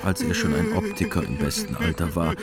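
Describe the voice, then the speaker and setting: A man narrating a literary war story in a radio play, measured, detached and matter-of-fact. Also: low voice, huge pitch swings. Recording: music under the narration.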